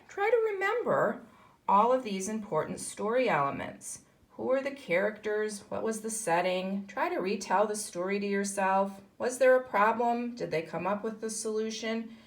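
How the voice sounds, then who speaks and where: Slow-paced, very animated woman teacher. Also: medium pitch. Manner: instructional, explaining and posing questions to children. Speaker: kindergarten teacher. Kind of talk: read-aloud lesson for young children, reading a picture book with asides.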